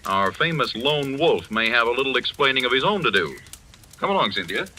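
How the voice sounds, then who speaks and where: Officious society host acted by a man, defensive, insistent, animated pitch, old radio recording with faint noise. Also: a little fast, close to an everyday voice. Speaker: actor in a radio mystery. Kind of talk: old-time radio mystery acting.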